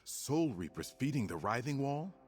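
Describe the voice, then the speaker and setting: An actor playing a grave, measured male ally in a fantasy game's cutscene. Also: medium pitch, big pitch swings, darkish tone, lightly acted. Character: earnest adult male ally character in a fantasy game. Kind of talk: game cutscene dialogue.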